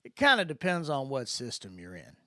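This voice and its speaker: A man's voice: medium pitch, huge pitch swings, a little fast, pushed and bright, no echo, clean studio sound, exaggerated voice. A man voicing an easygoing good old boy from Mississippi, a spacefaring mentor with a folksy Southern drawl, in a science fiction audiobook. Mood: folksy, explaining.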